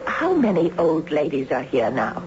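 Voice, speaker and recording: A woman's voice: sits low, wildly swooping pitch, normal speed, noisy background. An actress playing a polite elderly lady in a 1970s radio drama, courteous and tentative but full of questions. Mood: polite curiosity. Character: genteel, polite elderly lady seeking a home. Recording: dull vintage radio broadcast.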